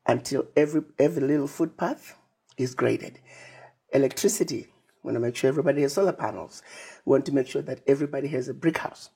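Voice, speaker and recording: A woman's voice: very deep voice, fast talker, gravelly, warm tone, breathy edge. A woman from Zimbabwe, an interview guest who speaks emphatically, laying out plans as lists and retelling conversations. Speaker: interview guest, Pan-African advocate.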